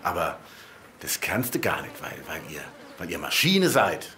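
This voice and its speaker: A man's voice: deep voice, sing-song, normal speed, sharp tone, heavily acted. An actor playing an old-fashioned, rusty male letterbox in a radio play, pedantic, quarrelsome and needling. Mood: smug, needling. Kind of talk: German radio play acting.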